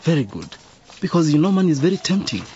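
A middle-aged actor playing a kindly, cautious father in a radio drama, speaking in a measured, paternal way. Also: fairly high pitch, very animated, mellow, muffled recording, a little hiss or hum. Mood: fatherly, gentle. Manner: measured, paternal, murmuring assent.

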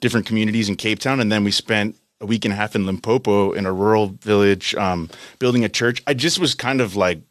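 American guy late 30s, lowish voice, chatting as an interview guest, dry studio sound. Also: quick pace, hardly any pauses, no echo. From United States, California. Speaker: interview guest, company country head. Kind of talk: casual interview conversation.

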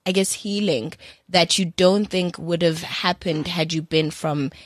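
A woman's voice: on the low side, fairly even intonation, measured pace, dry recording, studio quality. A woman co-hosting a podcast, asking a guest thoughtful, winding questions. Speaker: podcast co-host. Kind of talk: interviewing a guest.

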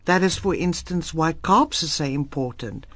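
Emphatic South African woman, lively. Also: sits low, normal speed, no echo. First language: Afrikaans. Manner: emphatic, lively, firm opinions. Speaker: interview guest, Afrikaans writer. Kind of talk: radio interview.

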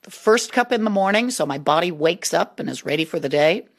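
A woman hosting a scripted radio programme in a chatty, expressive way. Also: fairly low pitch, a little fast. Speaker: radio show host. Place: radio studio.

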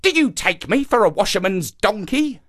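Man voicing an excitable, crafty monkey, very high-pitched. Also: normal speed, exaggerated voice. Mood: mock astonished. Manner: exclamatory, theatrical. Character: clever, excitable male monkey, indignant and crafty. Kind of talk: animal folktale storytelling.

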